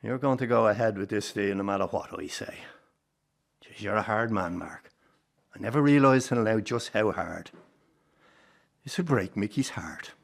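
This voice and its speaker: A man's voice: on the low side, moderate pace, gravelly, long pauses. An actor playing a stubborn, wounded older father with an Irish accent, in a naturalistic radio drama. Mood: sad, resigned. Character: stubborn, wounded old father of a traditional family circus.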